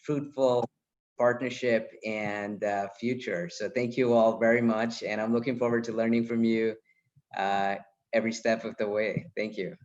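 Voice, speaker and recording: A man's voice: lowish voice, little pitch movement, moderate pace. Effusive man welcoming a video call. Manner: effusive, enthusiastic, with fillers. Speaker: senior mentor. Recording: dull video call audio.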